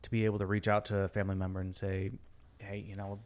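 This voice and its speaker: A man's voice: lowish voice, monotone, fast, muffled recording, a little hiss or hum. A man joining the chat as a co-host, talking his thoughts through with restarts. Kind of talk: casual chat.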